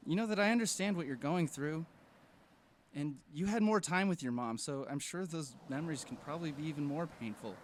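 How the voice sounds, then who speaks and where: A man's voice: on the high side, fairly quick, a little hiss or hum, natural voice. A man playing a supportive, jokey teenage boy, an earnest best friend in an audio drama. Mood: earnest, comforting. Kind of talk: fiction podcast audio drama acting.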